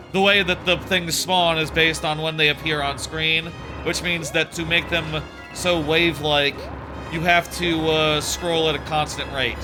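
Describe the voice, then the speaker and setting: A man's voice: fairly high pitch, low-quality recording. A man casually chatting while streaming a game, rambling with lots of fillers, a bit sniffly with a sore throat. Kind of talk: casual gameplay commentary. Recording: game music playing behind. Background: game music.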